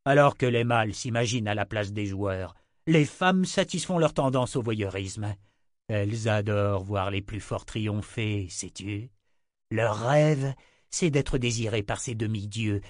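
A man's voice: fairly low pitch, lively intonation, measured pace, no echo, studio quality. A man reading an audiobook, voicing a cold, contemptuous emperor who lectures in a condescending tone.

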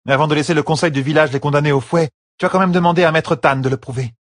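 A man's voice: on the high side, steady tone, very fast, dry recording, clean studio sound, voice pushed up, natural voice. A man voicing a composed, assertive young noblewoman in an audiobook, speaking frankly and matter-of-factly. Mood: reasoning.

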